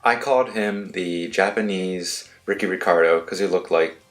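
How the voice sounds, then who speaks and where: Low-voiced man in casual chat. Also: moderate pace, fairly bright tone, soft background music. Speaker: podcast co-host. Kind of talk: casual chat, video game discussion.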